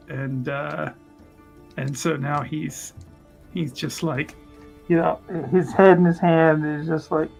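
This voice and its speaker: Slow-paced, higher-voiced man playing tabletop. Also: vocal fry, fairly bright tone. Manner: hesitant, repeats words, chuckles often. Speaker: tabletop roleplaying player. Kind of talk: casual improvised narration of own character's actions. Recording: music under voice. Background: background music.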